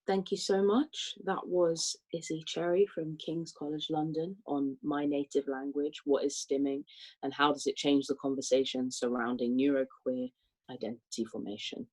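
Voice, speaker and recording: A panel chair introduces the next speaker in an easygoing way, with a few fillers along the way. The voice is mellow and warm, at a middle pitch. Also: flat delivery, moderate pace, rough recording. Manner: casual, with filler words while reading out titles.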